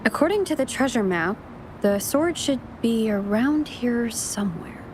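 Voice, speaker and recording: A woman playing a calm, composed young adventurer and steady companion in an anime-style video game. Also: on the high side, measured pace, background noise. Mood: calm, thoughtful.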